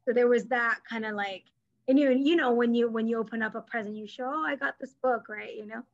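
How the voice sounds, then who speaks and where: A woman's voice: a little high, fairly quick, muffled recording. A young woman, a speech therapist, talking as a guest over a video call, chatty with light stuttering and restarts. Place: small room indoors. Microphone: webcam mic on video call.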